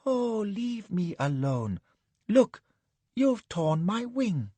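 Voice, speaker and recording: A man voicing a frantic, squealing man, a eunuch at a palace, high-pitched and near tears. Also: sing-song, extremely slow, dark tone, husky, no room sound, full character voice. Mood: frantic pleading.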